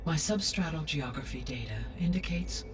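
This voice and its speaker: An actor voicing a calm, benevolent artificial intelligence in a video game, speaking formally and precisely in measured phrases. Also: mid-range voice, far from the mic, background noise, close to an everyday voice. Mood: composed, helpful. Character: calm, benevolent female-named artificial intelligence overseeing Earth. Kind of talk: formal scripted game dialogue.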